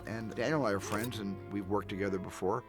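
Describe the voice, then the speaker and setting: Man, filmmaker, reflective, hesitant, lowish voice. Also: steady tone, fast talker, over loud music. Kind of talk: documentary interview.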